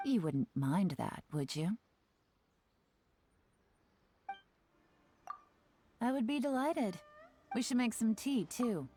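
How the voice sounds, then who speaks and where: A woman voicing a shrewd, playful young spymaster in a video game, teasing and self-assured, with a smooth delivery. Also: on the high side, sing-song, measured pace, warm tone, slight background noise. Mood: teasing, coy. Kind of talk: scripted video game character dialogue. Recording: game music behind the voice.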